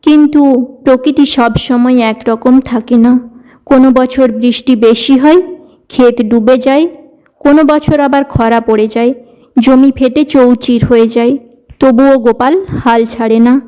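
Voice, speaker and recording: Female narrator for animated tale, high-pitched, warm dark tone, scripted delivery. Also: unhurried, rough recording. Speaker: storyteller, narrator. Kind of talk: scripted cartoon story narration.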